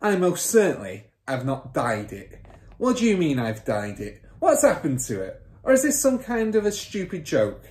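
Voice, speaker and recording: A vain, blustering storybook father, played by a woman reader. He yells indignantly and gets flustered and panicky, his raised voice swooping up and down. The room sounds echoey. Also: low-pitched, big pitch swings, moderate pace, voice pushed up. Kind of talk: children's storybook character voice.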